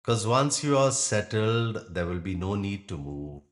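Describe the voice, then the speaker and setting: Slow, low-voiced man guiding meditation. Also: very animated. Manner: gentle, soothing, measured delivery with pauses. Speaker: yoga nidra meditation guide. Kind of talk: guided meditation.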